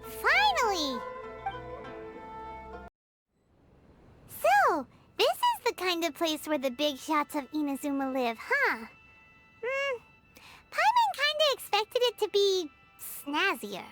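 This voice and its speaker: Squeaky, very high little sprite. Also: female voice, wildly swooping pitch, unhurried, exaggerated voice. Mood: blunt, cheeky. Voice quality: squeaky, childlike. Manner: cutesy, refers to self in third person. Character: tiny childlike female companion sprite, cheeky and blunt. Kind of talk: scripted game cutscene dialogue. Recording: prominent music behind the dialogue. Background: game music.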